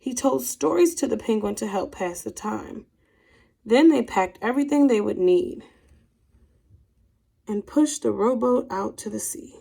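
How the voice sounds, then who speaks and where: A woman's voice: a little high, lively intonation, unhurried, creaky, pauses often, mellow, breathy edge. A woman teacher speaking expressively to young pupils, as in a read-aloud of a children's storybook. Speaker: teacher reading a picture book aloud to children.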